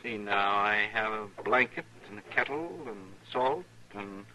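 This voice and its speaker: An actor playing a polite, musing, absent-minded gentleman, mannered and deliberate, in an old radio western. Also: on the low side. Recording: faintly noisy old radio recording.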